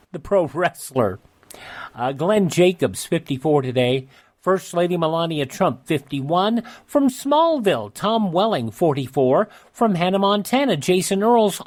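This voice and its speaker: A man hosting a radio show, reading out an almanac with casual asides in a halting, conversational way. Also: high-pitched, a little mellow. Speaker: radio host.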